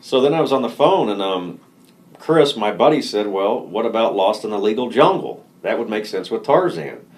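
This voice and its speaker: Deep-voiced relaxed man. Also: normal speed. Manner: relaxed, laughs easily, restarts often. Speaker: lawyer, firm co-founder. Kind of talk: casual interview.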